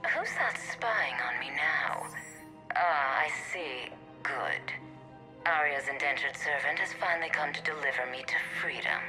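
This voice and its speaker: A vengeful, sadistic mercenary queen in a sci-fi game. Her low, cutting voice gloats and threatens with open contempt. Faint music sits underneath. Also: lively intonation, measured pace, pushed and bright, exaggerated voice, rough recording. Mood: sneering. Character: sadistic, unhinged, vengeful imprisoned woman mercenary boss. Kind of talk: dramatic video game dialogue.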